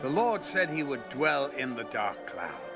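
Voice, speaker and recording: A man's voice: mid-range voice, big pitch swings, a little slow. An actor playing a reverent king in an audio Bible drama, addressing his people in a stately, declamatory way. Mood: solemn, proclaiming. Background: music.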